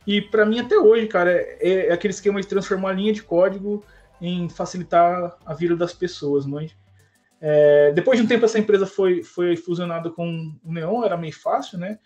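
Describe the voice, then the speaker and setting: A man chatting casually as a podcast guest, relaxed and slangy, often tagging his sentences with filler words. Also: sits high, moderate pace. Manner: relaxed, slangy, fills with "né, cara". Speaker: podcast guest, tech lead. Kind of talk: casual chat. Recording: dull lo-fi sound with faint music.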